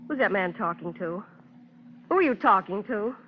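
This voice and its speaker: An actress playing a distraught, imperious wife fighting for composure, in intense vintage radio melodrama style. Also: female voice, mid-range voice, expressive, moderate pace, close to an everyday voice. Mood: sharp, demanding. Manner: intense, clipped, repeating pleas and demands. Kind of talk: 1950s radio melodrama acting. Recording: muffled, faintly noisy old radio recording.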